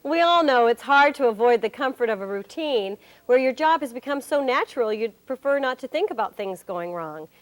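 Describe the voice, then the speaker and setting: A woman's voice: on the high side, animated, fairly quick, hardly any pauses, lightly acted. A woman acting as a wry, cheerful presenter, giving scripted instruction in a corporate training film. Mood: instructive.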